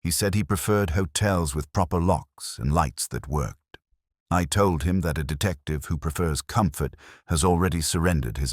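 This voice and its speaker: A man reading a scripted first-person detective story in a measured, literary, reflective manner. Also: a bass voice, dry recording, studio quality. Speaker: narrator.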